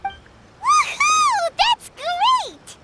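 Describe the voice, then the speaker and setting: A woman voicing a small, excitable childlike fairy companion in a video game, with a squeaky, perky delivery. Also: extremely slow, full character voice. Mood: excited. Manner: perky, refers to self by name. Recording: very noisy game capture with clicks.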